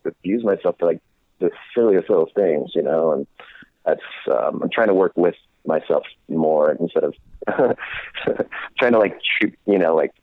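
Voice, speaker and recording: A young adult man in casual conversation as a guest, talking haltingly with many fillers and restarts. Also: low voice, expressive, no echo. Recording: tinny phone line.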